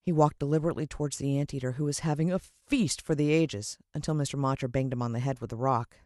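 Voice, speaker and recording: An American woman narrating a children's adventure story in an expressive, suspenseful storytelling style. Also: very deep voice, fast talker, dry recording, studio quality. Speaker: audiobook narrator.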